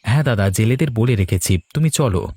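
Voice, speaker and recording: A man's voice: medium pitch, animated, fast talker, dark tone, slightly rough, no echo. A man playing a hardworking, practical village fishmonger in a cartoon, hopeful about his family trade. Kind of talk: Bengali cartoon dialogue.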